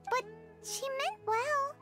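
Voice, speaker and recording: Tiny fairy girl, squeaky, very high, swooping intonation, earnest, caring, anime game character. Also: expressive, slow pace, bright, forceful tone, heavily acted. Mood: gently reassuring. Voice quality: squeaky, childlike. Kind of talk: anime video game acting. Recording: music behind.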